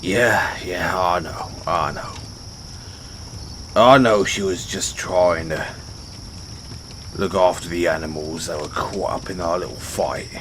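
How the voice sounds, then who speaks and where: Man playing a deep-voiced orc barbarian. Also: background noise. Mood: sheepish. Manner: colloquial, halting when defensive. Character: big, brash male orc barbarian, rambunctious but sheepish. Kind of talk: audio roleplay acting, addressing the listener. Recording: nature ambience with crickets behind. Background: outdoor night ambience, crickets.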